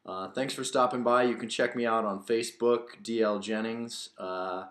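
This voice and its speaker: A man's voice: on the low side, steady tone, moderate pace, a bit bright. A man hosting as an author, reading his own novel and talking about it. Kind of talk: audiobook narration with casual commentary. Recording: roomy, echoing room sound.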